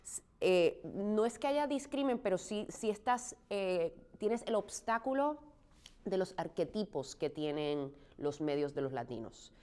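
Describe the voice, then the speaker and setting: A woman raised in Puerto Rico, an actress answering interview questions thoughtfully, often pausing with fillers. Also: mid-range voice, very animated, darkish tone. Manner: thoughtful, frequent restarts and "eh" fillers.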